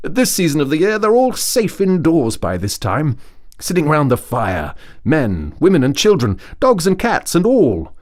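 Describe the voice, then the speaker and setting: A man's voice: on the high side, huge pitch swings, normal speed, a little mellow, pitched above the performer's own voice. A man voicing a breezy, reassuring male rat from a storybook, hearty and coaxing.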